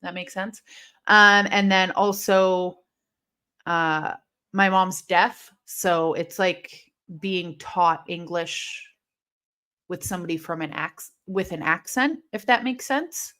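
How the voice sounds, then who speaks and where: A woman from Illinois hosting a live wrestling watch-along, chatting casually and rambling, with intense, sharp S sounds left from a lisp. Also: lowish voice, slow pace. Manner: chatty and rambling, heavy use of "like". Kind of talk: casual live-stream chat reading comments.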